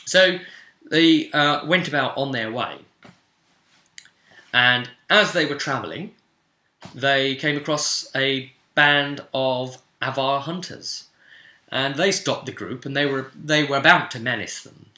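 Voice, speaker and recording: A man's voice: medium pitch, slow pace, sharp tone. A man giving a casual, rambling spoken recap of a tabletop role-playing session as its game master. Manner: rambling, conversational asides. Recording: roomy, echoing voice recording.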